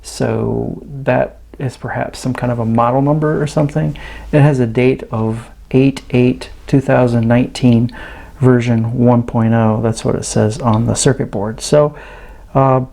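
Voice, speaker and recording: A man with a mellow, gravelly voice talks through a hands-on demonstration. He is casual and understated, halting now and then as he thinks aloud, with a fry running through his mid-pitched voice. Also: fairly even intonation. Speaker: host of an electronics teardown channel.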